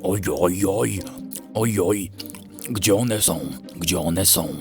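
A man voicing a gluttonous, fretful little male fox in a children's bedtime story, whimpering slowly and repeating himself. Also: sits low, over music. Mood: panicked.

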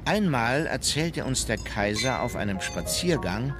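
A man playing a measured, critical courtier giving a formal recollection in a radio play. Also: medium pitch, big pitch swings, loud music behind the voice. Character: measured, critical male witness from the Kaiser's entourage.

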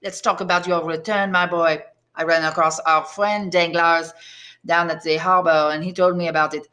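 A woman's voice: on the low side, steady tone, moderate pace, bright, forceful tone. Woman putting on a smug, breezy young tailor. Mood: familiar, sly. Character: smug grinning tailor neighbour, man of twenty-five. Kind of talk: audiobook character dialogue.

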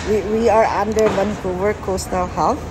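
A woman's voice: mid-range voice, fairly even intonation, normal speed. A young woman whose first language is Filipino, filming a walking vlog on a phone and talking earnestly, repeating words for emphasis. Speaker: vlogger. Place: outdoors on a busy city waterfront plaza. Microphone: handheld phone camera, speaker off screen. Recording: outdoor phone recording with street noise.

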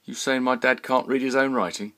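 A man's voice: mid-range voice, fairly even intonation, fairly quick, fairly bright tone, voice pushed up, close to an everyday voice. A man voicing a thin teenage boy, a tradesman's son, casual and cheeky, in a story reading. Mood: challenging.

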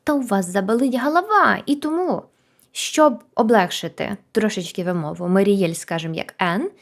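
A woman teaching a language lesson in an animated, chatty, encouraging way with emphatic repetition. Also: mid-range voice, normal speed. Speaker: Korean language teacher and video host. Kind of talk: conversational language lesson to camera.

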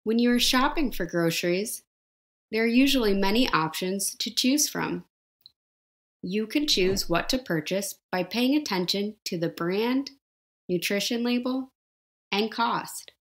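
A woman reads a life skills lesson as a voice-over, with a steady, medium-pitched voice. She lays out each step slowly and clearly, in simple words, and now and then asks the listener a question. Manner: slow, clear, simple explanations with questions to the viewer. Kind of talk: scripted educational narration.

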